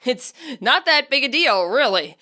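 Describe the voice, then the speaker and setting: A woman's voice: high voice, big pitch swings, unhurried, sharp tone, pitched above the performer's own voice, exaggerated voice. A woman voicing a modest, guarded teenage boy in an audiobook story, hesitant and understated. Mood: bashful.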